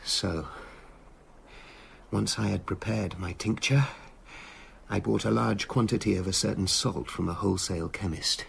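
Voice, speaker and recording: An actor playing a tormented, self-justifying doctor in a radio drama, speaking formally and intensely. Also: male voice, on the low side, steady tone, unhurried, creaky, a little hiss or hum. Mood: feverish recollection.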